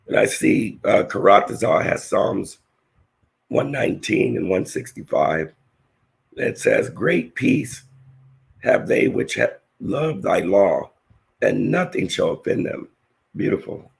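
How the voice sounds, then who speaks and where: Male Bible teacher, low, hoarse and gravelly, earnest slow verse reading. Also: very animated. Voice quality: raspy, gravelly. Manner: slow, earnest scripture reading with halting restarts. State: hoarse, voice nearly lost. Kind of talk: scripture-reading lesson.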